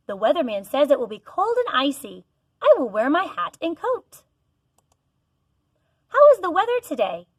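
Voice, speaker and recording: A woman's voice: sits high, a bit bright, no room sound, clean studio sound. A woman teacher giving a read-aloud lesson to young children, encouraging them and asking questions as she goes. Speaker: elementary school teacher.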